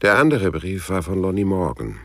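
An actor playing a laconic, world-weary private detective, narrating his case in a deadpan, sober way in a noir radio play. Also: sits low, normal speed. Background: guitar music.

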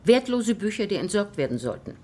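An actress playing a brusque, busy academic in a radio drama, speaking in curt, precise phrases with impatience and pride. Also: female voice, mid-range voice, very animated, a little fast, darkish tone. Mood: proud, explaining. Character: brusque, busy female scholar, impatient and proud. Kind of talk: German radio drama dialogue.

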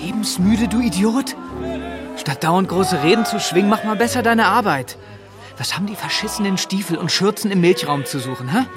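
A man's voice: high-pitched, lively intonation, moderate pace. A young man acting in a German radio drama as a dutiful, stern and sullen teenage dairy farmhand with a curt way of talking. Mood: scolding. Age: young adult. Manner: curt, scolding. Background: music.

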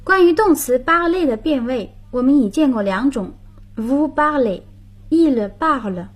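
A woman's voice: high-pitched, lively intonation, slow. A woman hosting a radio language lesson, patiently explaining grammar and prompting her partner.

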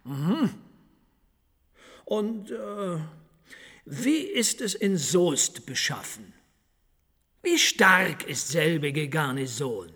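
An actor playing a commanding, wily fortress colonel in a period radio drama, with deliberate pauses, hums and threatening politeness. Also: male voice, a little high, very animated, bright, forceful tone, husky, heavily acted. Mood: probing, curious. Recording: long reverberant room.

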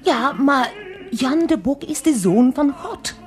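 An actress playing a naive, adoring German mistress in a radio play, plaintive and primly earnest, with a German accent. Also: female voice, sits high, lively intonation, a little mellow. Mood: indignant, earnest. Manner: slow, halting, primly earnest, mixing in German words. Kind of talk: 1990s Dutch radio play acting. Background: classical choral music.

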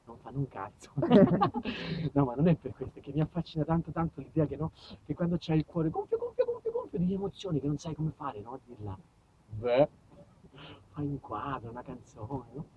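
Man putting on giggly, naive young Vanessa, hushed. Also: fairly high pitch, very animated, a little fast, phone-quality sound, a little hiss or hum, pitched below the performer's own voice. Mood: dreamy, shy. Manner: hesitant, rambling, breathy giggles between phrases.

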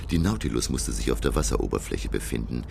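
Very deep, gravelly awestruck narrator. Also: male voice, lively intonation, fast, darkish tone, slight background noise, full character voice. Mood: solemn, intent. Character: awestruck learned professor narrating his undersea adventure. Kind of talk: dramatic first-person radio play narration.

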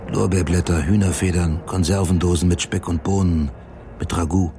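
A man's voice: deep voice, steady tone, moderate pace, mellow. An actor playing a troubled man who recounts events in terse fragments in a radio drama, with music underneath. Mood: grim recounting. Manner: terse, fragmentary first-person narration. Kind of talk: German radio drama monologue.

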